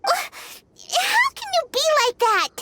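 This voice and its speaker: An actress playing a tiny, childlike female fairy companion in a fantasy video game, chirpy, blunt and very expressive. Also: slow pace, faint music behind, full character voice. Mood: pleading, indignant. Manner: squeaky, expressive, refers to herself in third person.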